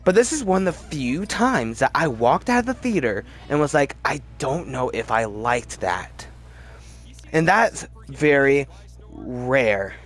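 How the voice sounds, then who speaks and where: A man's voice: medium pitch, very animated, unhurried, a bit bright, faint music behind. A man hosting a casual, opinionated commentary video, talking in a rambling, emphatic way and often interrupting himself. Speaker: video host.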